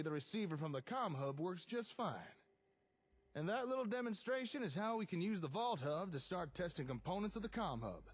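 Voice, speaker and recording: A man playing an easygoing, enthusiastic settlement tinkerer, chatting and explaining in a folksy way in video game dialogue. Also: a little high.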